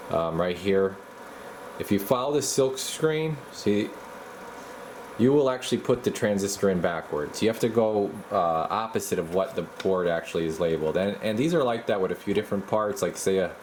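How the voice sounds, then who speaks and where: A man with a low voice talks casually through hands-on repair work as a technician. His delivery is halting and folksy, like someone thinking aloud, and there is noticeable background noise behind him. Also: a little mellow. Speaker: radio repair technician and video host.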